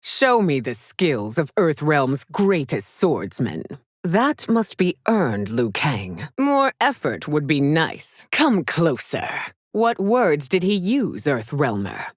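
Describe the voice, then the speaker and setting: A proud warrior empress from a video game, with a low female voice and a very dry, close sound. She speaks slowly and formally, stern and imperious, every line delivered as a theatrical command full of scorn. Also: sing-song, no echo, exaggerated voice. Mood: haughty, scornful. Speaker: voice actress in a fighting game.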